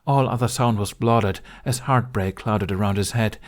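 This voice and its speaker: A man's voice: lowish voice, normal speed. A man reading a dramatic adventure audiobook as its narrator, in a scripted storytelling style.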